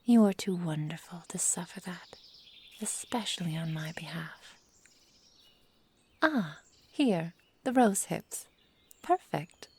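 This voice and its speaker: Casting: possessive immortal witch played by a woman, low-pitched, whispery and mellow, formal and tenderly doting, for ASMR roleplay. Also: animated, slow, long pauses, heavily acted. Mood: soothing, possessive. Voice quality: soft, breathy, whispery. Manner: tender endearments, formal old-fashioned storybook phrasing. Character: possessive, adoring immortal witch living in the forest. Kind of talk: intimate ASMR roleplay, slow dramatic delivery.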